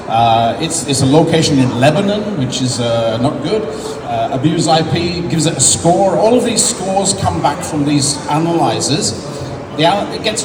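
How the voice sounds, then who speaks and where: An English man giving a casual, explanatory talk at a tech conference, with many restarts as he demos software. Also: high voice, noisy background. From England. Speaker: conference presenter. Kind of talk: live tech demo talk. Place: large conference hall. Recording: echoey hall recorded from far off with loud ambience.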